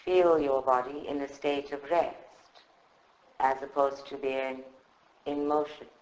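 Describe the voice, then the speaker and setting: A man leading a guided meditation in a calm, soothing, measured way with long pauses. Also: mid-range voice. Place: indoor room at a group meditation session. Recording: roomy, echoing live recording.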